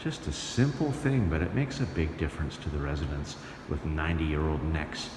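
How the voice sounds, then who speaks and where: A man's voice: a bass voice, moderate pace, background noise. A man who works as a touring entertainer, narrating his travels in a casual vlog style. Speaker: touring musical entertainer and host. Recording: echoey room, music behind.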